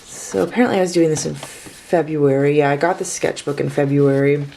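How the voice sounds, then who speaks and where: A woman's voice: sits low, steady tone, a little fast, creaky, warm tone, slight background noise. A woman in her twenties, sick and sounding a little off, chatting casually and rambling as she flips through her sketchbook. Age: 20s. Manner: chatty, rambling, self-correcting. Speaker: artist vlogger.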